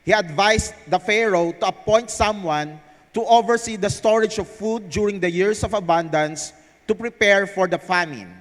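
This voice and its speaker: A man's voice: high voice, moderate pace. A man with a Filipino accent speaking as the presenter of a live stage event. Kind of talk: scripted narration read aloud to a live audience. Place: large indoor theater hall. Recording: echoey hall over PA sound system.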